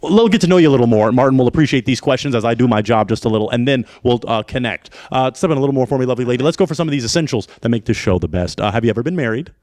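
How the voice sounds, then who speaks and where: A man's voice: mid-range voice, expressive, rapid-fire, few pauses, darkish tone, no room sound, clean studio sound. A man hosting a dating show in an upbeat conversational style, with encouraging interjections.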